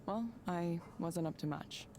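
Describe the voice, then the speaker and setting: A woman's voice: fairly low pitch, a little slow, warm tone, a little hiss or hum, close to an everyday voice. An actress voicing a subdued, guarded woman who speaks hesitantly and with understatement in a visual novel game. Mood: downcast. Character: subdued, hesitant woman, withdrawn former tech worker, guarded.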